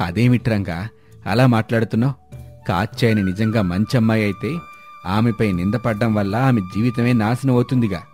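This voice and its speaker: Man playing a deep-voiced, reassuring village helper. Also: steady tone, fairly quick, darkish tone, faint music behind, close to an everyday voice. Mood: concerned. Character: calm, determined village man who helps troubled friends. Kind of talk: dramatised moral story dialogue.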